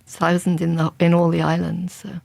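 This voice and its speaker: A woman's voice: on the low side, monotone, normal speed, vocal fry, darkish tone, no echo. A woman from England, a crime writer, answering interview questions in a reflective, conversational way. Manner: reflective and conversational, with frequent restarts. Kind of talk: interview guest.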